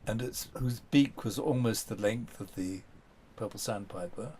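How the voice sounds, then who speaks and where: A man's voice: fairly low pitch, a little slow, dark tone, a little hiss or hum. Older man telling rambling anecdotes. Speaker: documentary subject. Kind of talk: reminiscing interview.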